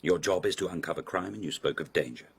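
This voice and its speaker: Haughty, very low-voiced palace lord. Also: male voice, gravelly, slightly rough, full character voice. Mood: probing, suspicious. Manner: mocking, formal, drawn-out insinuation. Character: haughty, sardonic palace lord of old Japan. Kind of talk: radio drama acting.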